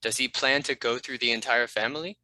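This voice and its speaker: Man voicing a very bright, forceful talking cat. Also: mid-range voice, fairly even intonation, brisk, no room sound. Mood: serious. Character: Wilbur, sensible talking male cat sidekick. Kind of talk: animated comedy table read.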